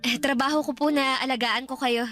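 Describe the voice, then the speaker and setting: A woman's voice: high-pitched, fairly even intonation, brisk, fairly bright tone, faint music behind. An actress playing a polite, caring eighteen-year-old housemaid in a radio drama, fussing and hesitant as she speaks. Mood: gentle, caring. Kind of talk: Tagalog radio drama acting.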